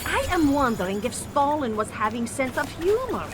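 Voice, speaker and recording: High, swooping gloomy Russian townswoman. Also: sing-song, moderate pace, background noise. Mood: glum. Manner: broken English, complaining. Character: gloomy, gossiping Soviet townswoman. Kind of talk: comic video game character acting.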